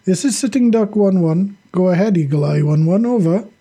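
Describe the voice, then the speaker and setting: Audiobook role: easygoing soldier in command, mellow and breathy, acted by a narrator. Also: medium pitch, normal speed, natural voice. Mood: businesslike. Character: good-humored Russian male armoured squad commander.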